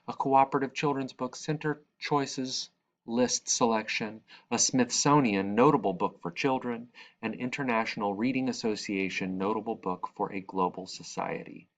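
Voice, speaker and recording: A man reading a children's picture book aloud, expressively, with dramatic and deliberate phrasing. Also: on the low side, fairly even intonation. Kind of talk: expressive read-aloud narration.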